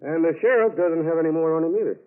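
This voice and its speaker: A man acting a methodical, confident FBI agent with a measured, matter-of-fact manner in a 1940s radio drama. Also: on the high side, clean tone, close to an everyday voice. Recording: tinny, narrow-band 1940s radio recording.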